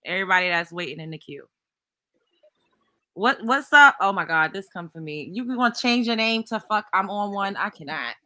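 A woman hosting a call-in chat show over video call, brisk as she handles callers. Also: mid-range voice, animated, sharp tone, dry recording. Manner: brisk, quick to laugh. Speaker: show host.

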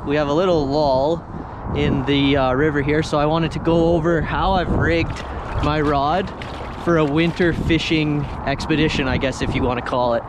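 Young man vlogging in the wind. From Canada. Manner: chatty, coaxing the fish, explaining the rig step by step. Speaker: fishing vlogger. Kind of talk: casual outdoor vlog narration. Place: on a river outdoors in wind, fishing from a small float boat. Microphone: action camera mounted on the boat. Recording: action camera audio with wind and nearby highway noise.

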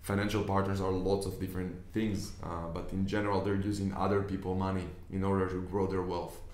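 Low, flat-voiced Israeli man explaining. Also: brisk. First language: Hebrew. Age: young adult. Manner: earnest and explanatory, with many restarts and gestures. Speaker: business investor. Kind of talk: casual talk to camera. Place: indoor office at a desk. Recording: echoey room.

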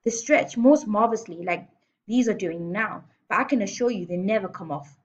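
A woman's voice: mid-range voice, normal speed, muffled recording, close to an everyday voice. A woman voicing a domineering, contemptuous headmistress who barks and sneers in a children's storybook reading. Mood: gloating, bullying. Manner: barking, scolding, sneering insults. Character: domineering, bullying adult headmistress, contemptuous and loud. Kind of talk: children's storybook read-aloud.

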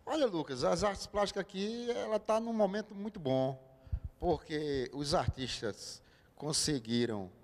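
Older man, TV interview guest, relaxed and halting, handheld mic, long studio echo. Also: mid-range voice. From Brazil, João Pessoa. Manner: easygoing, speaks in halting bursts with fillers. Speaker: guest visual artist. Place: TV studio set.

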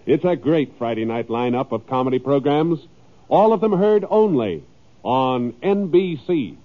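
A man's voice: medium pitch, lively intonation, a little slow. A man giving formal, polished announcements as a 1950s radio network announcer. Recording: tinny old radio recording with faint music.

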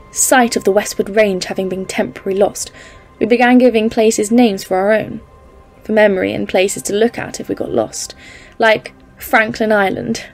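A woman's voice: mid-range voice, vocal fry. A woman playing a composed expedition leader, narrating a polar journal in a scripted audio drama. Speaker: narrator and lead character.

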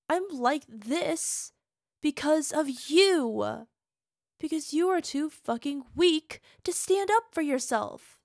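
A young woman acting a volatile, bitter partner in an audio roleplay monologue. She swings between hushed whispers and swearing outbursts. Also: a little high, dry recording, studio quality.

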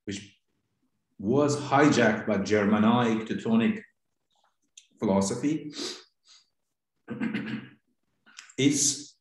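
A man with a Persian accent, a native Farsi speaker, giving a philosophy seminar lecture in a deliberate, halting way with frequent pauses. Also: mid-range voice, a little mellow. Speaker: seminar instructor. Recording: very echoey room.

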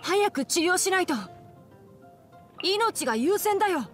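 A woman playing a young male fox-eared forest ranger in a game, bookish and brisk, with a matter-of-fact, helpful manner. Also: very high voice, animated. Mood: urgent, determined. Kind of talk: Japanese anime-style game character voice lines. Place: studio. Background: background music.

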